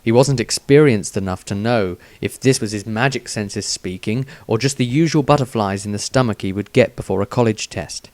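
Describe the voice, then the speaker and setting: Man narrating fantasy, dry and deliberate. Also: fairly low pitch, darkish tone, no room sound. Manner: deliberate, dramatic. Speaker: author reading own story. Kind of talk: scripted fantasy audiobook narration.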